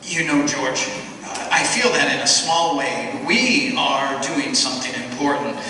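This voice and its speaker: A man playing a kindly middle-aged father who talks in a gentle, halting, earnest way, in an amateur radio play. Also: mid-range voice. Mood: tender. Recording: echoey hall, distant mic, noisy.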